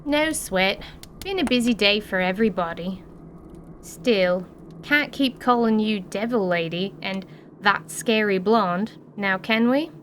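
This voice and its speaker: A woman's voice: medium pitch, a little slow, fairly bright tone, slight background noise, natural voice. A woman playing a brusque, cocky female goblin gang leader with a folksy, blunt way of talking. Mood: brusque, businesslike.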